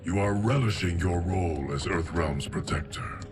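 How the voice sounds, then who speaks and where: A man playing an ancient, serene guardian of time in a video game, formal and deliberate. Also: deep voice, natural voice. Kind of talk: video game cutscene acting. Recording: loud music behind the voice.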